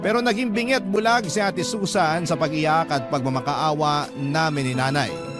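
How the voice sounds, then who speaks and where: Casting: male actor as sentimental young narrator, slightly high-pitched, confessional radio drama, prominent music bed. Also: lightly acted. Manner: earnest, sentimental. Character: earnest, wounded young man recounting family heartbreak.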